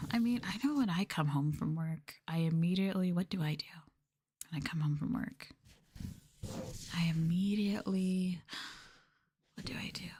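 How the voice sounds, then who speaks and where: A woman doing a live ASMR stream, talking softly and breathily to viewers in a gentle, subdued way, often dropping into a whisper close to the mic. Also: mid-range voice, a little hiss or hum. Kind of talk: ASMR soft talking, casual chat with viewers. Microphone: close ASMR microphone.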